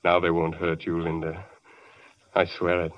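A man's voice: deep voice, monotone, moderate pace, far from the mic, highs cut off, natural voice. An actor playing a frantic man guarding his cursed wife, in 1940s radio acting style. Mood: desperate. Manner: desperate, pleading, then wildly shouting.

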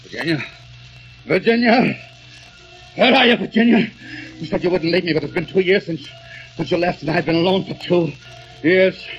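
A man's voice: high voice, moderate pace, pauses often, dull audio. A man playing a delirious, dying poet haunted by grief, raving and repeating himself in an old radio drama. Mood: desolate, delirious. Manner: anguished, raving, repeating words obsessively. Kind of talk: 1940s radio drama acting. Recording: vintage radio recording with music.

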